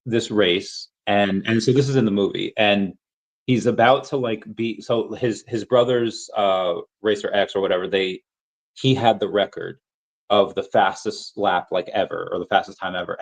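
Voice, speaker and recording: A man's voice: fairly low pitch, poor audio. A man hosting a podcast in casual chat, telling long, rambling stories in a loose, conversational way. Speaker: podcast host.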